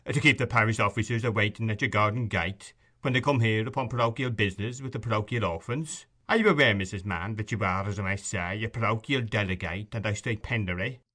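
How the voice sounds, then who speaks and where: A man's voice: on the low side, fast talker, hardly any pauses, pushed and bright. A man voicing a pompous, self-important parish officer who blusters and speechifies. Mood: indignant. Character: pompous fat choleric male parish beadle.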